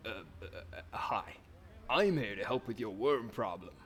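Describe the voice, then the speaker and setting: A man's voice: fairly low pitch, animated, moderate pace, breathy edge. A man playing an earnest, exasperated professor explorer in a comic puppet musical, with a stammering, flustered delivery.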